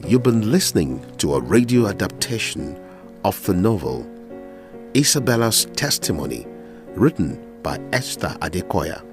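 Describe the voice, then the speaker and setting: A man's voice: low voice, very animated, normal speed. A man with a Nigerian accent narrating a radio drama in a dramatic scripted style. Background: music.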